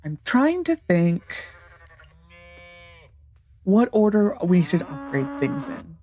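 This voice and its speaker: A woman's voice: mid-range voice, very animated, takes it slowly, warm tone. Congested woman rambling over gameplay. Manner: rambling, thinking aloud with many fillers. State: congested, nasal from allergies. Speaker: let's play gamer. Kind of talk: casual gameplay commentary.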